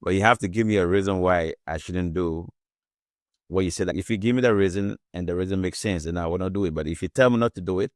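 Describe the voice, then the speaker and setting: A man with a very low, deep voice talks in a loose, rambling way as a guest on a remote call. The sound is dry and close. He is from Ghana. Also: quick pace, no room sound. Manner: rambling, relaxed storytelling with frequent "you know". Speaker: guest author. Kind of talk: casual interview.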